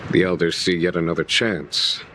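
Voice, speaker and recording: An actor playing a calm, genial general in a video game, speaking in measured, eloquent and formal words. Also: male voice, deep voice, background noise, lightly acted. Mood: measured, shrewd. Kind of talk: video game cutscene acting. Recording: music behind the voice.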